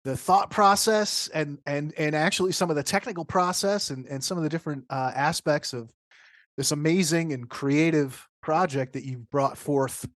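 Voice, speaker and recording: A man's voice: fairly high pitch, moderate pace, a bit bright, no echo. A man hosting a casual interview, appreciative and hesitant, repeating words as he forms questions. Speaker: podcast host.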